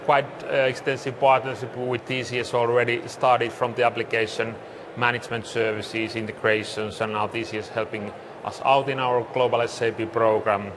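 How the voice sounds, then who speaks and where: A man's voice: mid-range voice, level tone, normal speed. Finnish-accented man presenting, noisy recording. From Finland. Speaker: corporate conference presenter. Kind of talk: business presentation. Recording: clearly noisy live room recording.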